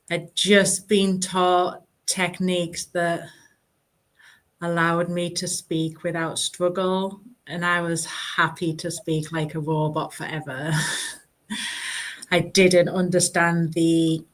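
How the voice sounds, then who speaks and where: A woman's voice: fairly low pitch, a bit bright. A woman on a live webinar sharing her experience candidly, with a self-deprecating touch. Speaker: webinar panelist. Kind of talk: personal storytelling on a live webinar.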